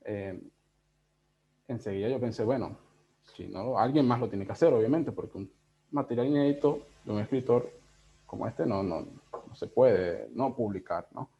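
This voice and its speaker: A Venezuelan man with a soft, mellow voice talks reflectively in a casual interview. He wanders through his thoughts haltingly, checking in as he goes. The sound is a slightly dull, lo-fi video call. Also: medium pitch. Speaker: interviewed book editor.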